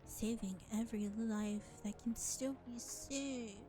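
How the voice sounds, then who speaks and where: A woman playing a world-weary, powerful vampire in a scripted audio roleplay, deliberate and condescending, speaking to the listener as a reluctant ally. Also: a little high, steady tone, mellow, faint music behind. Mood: exasperated. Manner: deliberate, exasperated, sighing, condescending.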